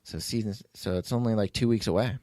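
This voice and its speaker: Man, lowish pitch, casual podcast host, talkative storyteller, dry recording. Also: fast talker, darkish tone, no room sound. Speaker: podcast writer and producer, host. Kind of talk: casual behind-the-scenes chat.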